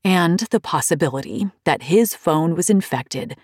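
Low-voiced woman narrating a memoir. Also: animated, a little slow, breathy edge, no echo, studio quality.

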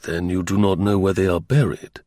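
Man playing a cool, low-voiced intelligence officer. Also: no echo, natural voice. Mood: calm, probing. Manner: calm, dry, deliberate. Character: cool, shrewd, unflappable male intelligence officer.

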